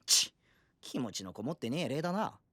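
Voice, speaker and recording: A man playing a self-important, grumbling young hero in an anime audio drama, with rough, arrogant, casual speech. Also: medium pitch, big pitch swings, moderate pace, pushed and bright, no echo, clean studio sound, full character voice.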